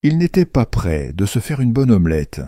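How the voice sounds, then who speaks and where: A man's voice: on the low side, big pitch swings, normal speed, warm tone, no echo, studio quality. A man narrating a scripted children's fairy tale as storyteller. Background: faint music.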